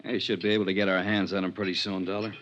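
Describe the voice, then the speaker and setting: An American actor playing a big, capable police lieutenant with plain, probing talk in a 1950s radio drama. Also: male voice, low-pitched, level tone, rapid-fire, natural voice. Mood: probing, matter-of-fact. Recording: vintage radio recording with hiss.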